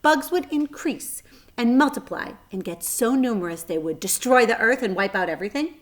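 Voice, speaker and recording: A woman's voice: a little high, expressive, normal speed, pitched above the performer's own voice, close to an everyday voice. A woman voicing a clever, kindly female spider, articulate and matter-of-fact, with a pleasant thin voice. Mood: earnest.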